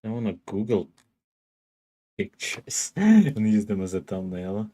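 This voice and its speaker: A man's voice: lowish voice, sing-song, unhurried, mellow. A man streaming a game, thinking aloud in a rambling, casual way and laughing often. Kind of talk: casual gaming livestream chatter.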